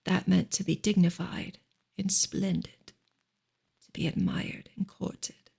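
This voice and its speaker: A woman whispering a novel aloud as a soft ASMR reading, in a hushed, gentle and breathy voice with creaky fry. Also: on the low side, level tone, slow pace. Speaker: ASMR reader.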